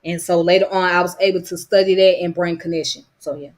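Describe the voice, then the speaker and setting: Southern georgia lady vlogging, lowish voice a bit worn out, rambly and repetitive. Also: little pitch movement, quick pace, a little mellow. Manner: loose and repetitive, with frequent "you know what I'm saying" fillers. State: voice slightly worn. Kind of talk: casual rambling monologue.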